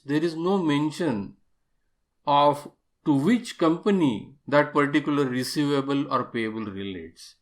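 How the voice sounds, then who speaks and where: Male instructor, somewhat high-pitched, methodical explanatory tutorial read with emphasis on key terms. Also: fairly bright tone. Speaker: accounting software instructor.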